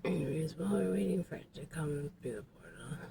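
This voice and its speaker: A woman with a heavily creaky, fairly low voice talks casually into a handheld camera. She often drops into a hushed near-whisper and speaks in a loose, storytelling vlog style. The amateur recording carries clear noise behind her. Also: expressive, a little fast. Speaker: vlogger filming and telling a story.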